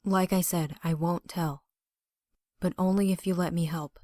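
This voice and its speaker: A woman's voice: on the low side, fairly even intonation, measured pace, dark tone, no room sound, studio quality, close to an everyday voice. A woman playing a stubborn, curious young friend in a fan audio drama, casual, frank and determined. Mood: insistent.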